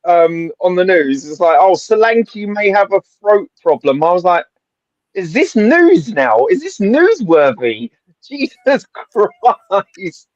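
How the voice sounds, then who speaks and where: A man chatting about football on a live stream, excitable and laughing often. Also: high voice, slow pace, dry recording, muffled recording. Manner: excitable, laughs often, says innit and mate. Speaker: panel guest. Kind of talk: casual football chat.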